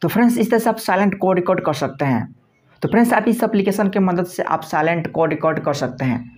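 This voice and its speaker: A man's voice: high voice, expressive, fast, darkish tone. A man presenting a step-by-step phone tutorial in a repetitive, instructional manner. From India.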